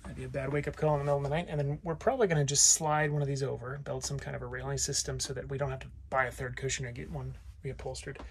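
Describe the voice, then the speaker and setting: A man's voice: medium pitch, level tone, rapid-fire, few pauses. A man giving a casual, off-the-cuff walk-through tour in an informal, self-deprecating way, recorded on a handheld device inside a small vehicle. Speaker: vlogger and owner giving a tour of a vintage motorhome. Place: inside a small vintage motorhome. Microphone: handheld phone or camera, held by the speaker. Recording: noisy handheld recording with clicks.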